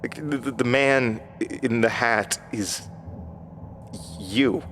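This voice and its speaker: A man's voice: mid-range voice, takes it slowly, a bit bright, a little hiss or hum. An actor playing a bewildered, anxious man seeking answers in a horror audio drama, with hesitant, stammering delivery. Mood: shaken, confused.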